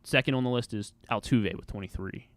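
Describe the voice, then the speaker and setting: A man's voice: medium pitch, fairly quick, a crowd in the background. An American man co-hosting a radio show, casually talking through sports trivia and game schedules.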